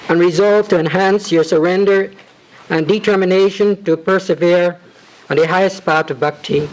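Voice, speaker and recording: A man reading a formal devotional tribute letter to his spiritual teacher, measured and reverent, with ornate phrasing. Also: high voice, rough recording. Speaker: disciple reading a homage.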